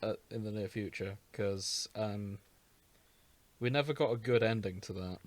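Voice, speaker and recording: A man's voice: lowish voice, normal speed, no echo. A man co-hosting a podcast, chatting casually in a silly, jokey way. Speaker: podcast co-host. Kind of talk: casual chat.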